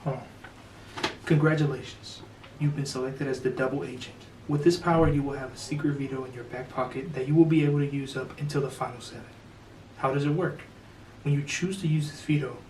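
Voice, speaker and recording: A man's voice: medium pitch, steady tone, fairly quick, a little mellow. A young man hosting the show, casually explaining a twist and reading a secret message with many fillers. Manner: laid-back, filler-heavy, jokey. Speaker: host. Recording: echoey room, slightly distant, faint noise.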